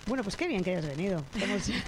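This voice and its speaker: A woman hosting a radio talk show, lively and chatty, with quick agreeing interjections. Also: medium pitch, background noise. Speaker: radio host.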